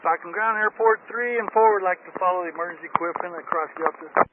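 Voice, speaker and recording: A man's voice: sits high, fast. A man on airport operations making working calls over a vehicle radio. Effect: radio transmission. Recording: very noisy, tinny vehicle radio.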